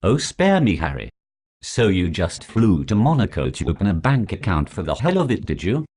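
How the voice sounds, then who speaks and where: A scornful prosecutor, played by a man, who cross-examines with biting sarcasm. His voice is very deep, yet it swoops up and down with lively scorn. He treats every answer with open contempt. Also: normal speed, husky, exaggerated voice. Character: sneering foul-mouthed prosecuting lawyer. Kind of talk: comedy sketch acting.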